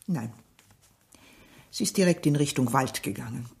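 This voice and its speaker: A woman's voice: extremely low pitch, lively intonation, normal speed, creaky, darkish tone, exaggerated voice. An actress playing a guarded, uneasy middle-aged village mother in a German radio play, terse and defensive in her answers.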